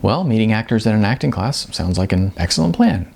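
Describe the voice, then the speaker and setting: A man's voice: lowish voice, sing-song, brisk, gravelly, a little mellow, exaggerated voice. A man voicing a polite, sardonic young aspiring actress in an audiobook, with a dry, wry manner. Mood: dry politeness.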